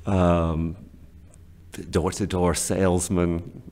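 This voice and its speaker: A man's voice: deep voice, flat delivery, extremely slow, darkish tone. A man in his forties from Scotland, raised in northern England, answering interview questions with many fillers and restarts. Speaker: guest, teacher trainer. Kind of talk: casual interview. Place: small classroom office. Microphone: studio mic on desk stand.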